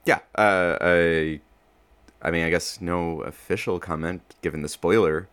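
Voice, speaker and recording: Very deep-voiced man chatting informally. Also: steady tone, measured pace, a bit bright, smooth. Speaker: podcast creator, interview guest. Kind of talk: casual chat.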